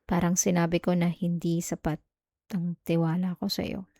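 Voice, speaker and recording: A woman's voice: lowish voice, flat delivery, moderate pace, warm tone, dry recording, studio quality. A woman acting as a jealous, clingy young girlfriend in an intimate ASMR roleplay, with a Filipino accent. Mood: remorseful, tender.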